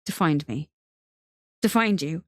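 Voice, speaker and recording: Woman as wounded, angry young lead in audiobook fiction: slightly low, terse, guarded, snarling. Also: animated, a little slow, dry recording, clean studio sound. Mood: bitter, guarded.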